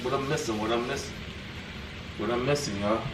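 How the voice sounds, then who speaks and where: A man's voice: mid-range voice, brisk, noisy background. A man casually talking viewers through his home cooking. He rambles a little, repeats himself, and keeps asking the audience questions. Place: home kitchen. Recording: music playing behind voice.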